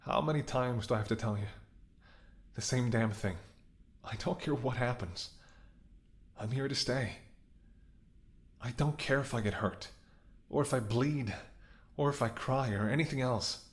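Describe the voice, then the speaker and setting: A man's voice: medium pitch, measured pace, long pauses, natural voice. A man acting in a romantic audio roleplay as a devoted, stubborn ex-bodyguard, speaking earnestly and intimately with emotional intensity. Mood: impassioned, pleading. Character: devoted gruff ex-bodyguard, fiercely loving, stubborn and protective.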